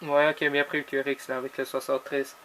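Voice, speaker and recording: A man with a Belgian French accent, an amateur radio operator, chatting casually and haltingly with repetitions. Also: medium pitch, completely flat delivery, background noise. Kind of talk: casual ham radio chat.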